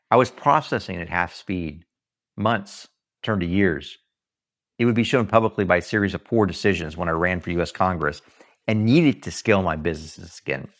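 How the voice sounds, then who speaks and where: A man reading his own book aloud in an audiobook style, earnest and deliberate, with dramatic emphasis and pauses. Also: deep voice. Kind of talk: audiobook-style scripted narration.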